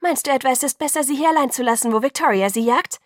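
A woman's voice: on the high side, brisk, dry recording, clean studio sound, natural voice. A woman voicing an impatient, fierce young female vampire in an audiobook, urgent and commanding. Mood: angry, defiant.

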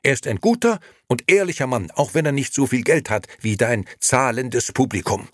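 A man's voice: mid-range voice, lively intonation, normal speed, no room sound, clean studio sound, pitched above the performer's own voice, lightly acted. A man voicing a brusque, indignant woman, an innkeeper's fiercely loyal wife, in a scolding audiobook character voice.

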